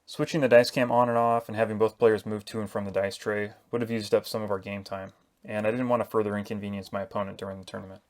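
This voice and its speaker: A man's voice: on the low side, flat delivery, quick pace, darkish tone. A man hosting a tabletop miniatures channel, narrating a prepared introduction to a game. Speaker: host of a miniatures battle report channel.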